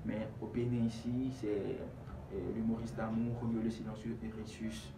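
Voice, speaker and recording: A man's voice: fairly low pitch, monotone, moderate pace, recorded from a distance. Young Ivorian man, echoey noisy recording. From Ivory Coast. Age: young adult. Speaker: rapper being interviewed. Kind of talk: casual interview answers with street slang. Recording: echoey room, off-mic, clearly noisy.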